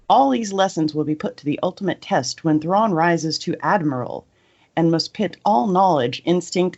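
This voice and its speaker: A man hosting a podcast, speaking conversationally or reading aloud. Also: on the high side. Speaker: podcast host. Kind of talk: casual discussion, some read-out synopsis. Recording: muffled, dull audio.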